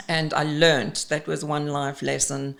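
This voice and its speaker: A South African woman in her fifties talks with a gravelly edge in her voice. She chats expressively and freely as an executive coach, with plenty of ums between thoughts. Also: low-pitched. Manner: chatty and expressive, with frequent ums. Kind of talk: casual interview chat.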